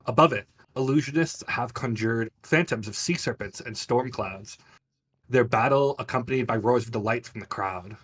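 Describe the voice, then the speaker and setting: Male game master, medium pitch, vivid descriptive tabletop narration. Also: normal speed, a bit bright, a little hiss or hum.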